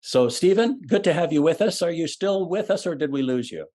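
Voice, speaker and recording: A man's voice: fairly high pitch, lively intonation, a little fast, dark tone. A man hosting an online ministry event, introducing and interviewing a guest in a friendly, easygoing way. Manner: friendly, easygoing, with frequent "uh" fillers.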